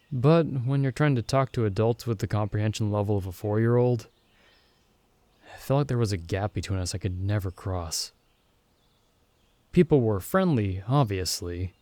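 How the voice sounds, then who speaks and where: A man acting as a caring young friend in a comfort roleplay. The voice is on the low side and soft-toned, mellow and close in a dry space. He talks gently and reassuringly, with thoughtful hesitations. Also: dry recording, natural voice. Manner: gentle, soothing, hesitant pauses and soft chuckles. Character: caring, reassuring young male friend stranded in another world. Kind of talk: ASMR comfort roleplay, intimate acted monologue.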